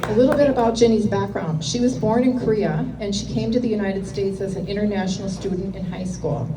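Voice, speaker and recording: Woman host reading out a speaker intro, sounds far from the mic, room noise clearly there. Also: mid-range voice, reverberant. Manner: measured, reading a prepared introduction. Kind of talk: formal introduction read to an audience. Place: meeting room with an audience.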